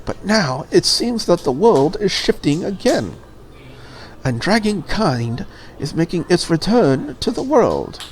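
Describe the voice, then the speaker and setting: A woman's voice: fairly low pitch, very animated, slow, a little hiss or hum, rough recording. Woman voicing a proud, stiff dragon girl ambassador. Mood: proud, grandiose. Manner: stiff, formal, solemn declarations. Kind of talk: visual novel character read aloud.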